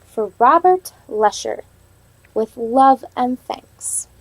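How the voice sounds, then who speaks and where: High-pitched woman teacher reading aloud. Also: animated, slow. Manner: instructional, prompting with simple questions and echoing answers. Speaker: elementary teacher. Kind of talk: read-aloud lesson to young children, questioning and storybook reading.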